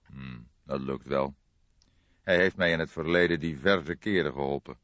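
A man voicing an old, grey-haired police detective, patient and measured, in an audiobook. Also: very deep voice, a bit bright, no room sound. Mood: thoughtful. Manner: measured, thoughtful, hesitant hums. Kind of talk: audiobook character dialogue. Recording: dull lo-fi recording.

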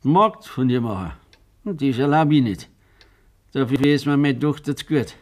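Old Bavarian farmer father male actor, radio drama: weary resignation, plain rueful delivery, mid-pitched. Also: normal speed, natural voice. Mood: resigned, bitter. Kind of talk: German radio play acting in dialect.

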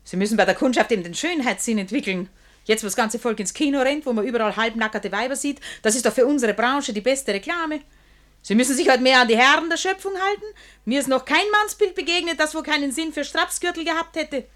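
An actress playing a brisk, bossy corset-shop owner with a southern German accent in a radio play. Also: female voice, sits high. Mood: scolding. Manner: effusive flattery turning to brisk scolding.

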